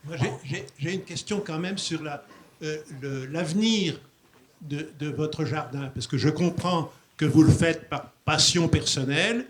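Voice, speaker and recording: A man with a fairly high voice asks a question from the audience. He stumbles over his first words, and faint noise sits behind him. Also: a little slow. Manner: hesitant, stumbling start. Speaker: audience member asking a question. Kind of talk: question from the floor.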